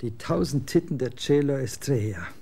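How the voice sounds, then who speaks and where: A scornful young rocker son of twenty, blunt slang, gravelly dark voice; radio play. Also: male voice, mid-range voice. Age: 20s. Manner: slangy, scornful, quick to get excited. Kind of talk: German radio drama acting.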